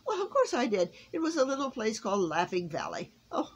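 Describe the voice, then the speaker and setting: A woman's voice: on the high side, very animated, fairly quick, husky, dull audio, voice pushed up. A woman voicing a jolly old man in a storybook, hearty and commanding, with exclamatory delivery. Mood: confident.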